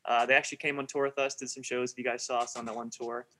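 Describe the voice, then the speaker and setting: Bright sharp-toned guy, guest producer, kinda hesitant. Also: mid-range voice, flat delivery, racing. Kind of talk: casual chat.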